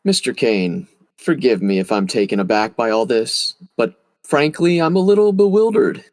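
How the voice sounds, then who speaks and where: An actor playing a calm, menacing vigilante with a suave, formal manner, in old-time radio drama style. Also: male voice, medium pitch, moderate pace, lightly acted. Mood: polite, cool. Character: calm, menacing male crime-fighting vigilante, outwardly suave gentleman.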